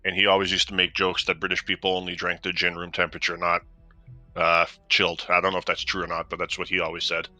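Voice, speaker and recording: A man's voice: deep voice, monotone, quick pace, sharp tone. A man chatting casually as a fellow player on a gaming stream. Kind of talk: casual chat while gaming.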